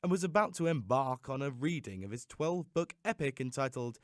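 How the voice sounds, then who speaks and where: A man giving a theatrical, expressive scripted reading of a story as an audiobook narrator. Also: mid-range voice, brisk, dry recording, studio quality.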